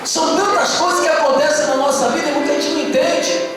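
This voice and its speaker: A man preaches in a strikingly high voice, swinging from hushed lines into shouted, sing-song bursts. He is recorded from a distance in a very echoey church, and music plays clearly behind him. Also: steady tone, fast talker. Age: 50s. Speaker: pastor. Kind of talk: sermon. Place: church service. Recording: very echoey hall, picked up from a distance. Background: music playing.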